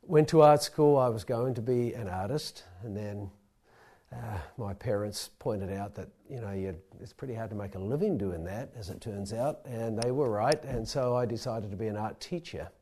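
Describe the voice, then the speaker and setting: A man's voice: lowish voice, moderate pace, warm tone. A middle-aged man with a New Zealand accent telling a rambling, self-deprecating personal story to a live audience.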